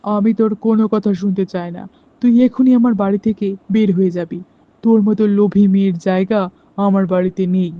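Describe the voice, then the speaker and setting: A woman's voice: medium pitch, steady tone, brisk, no echo, voice pushed down, close to an everyday voice. Woman doing a stern farmer father. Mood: angry. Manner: stern, outraged. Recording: dull lo-fi sound.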